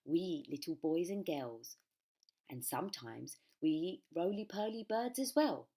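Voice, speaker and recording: A woman voicing a huge, sly, greedy crocodile in a children's storybook, gloating over every drawn-out word. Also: lowish voice, expressive, dark tone. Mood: menacing. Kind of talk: children's storybook read-aloud.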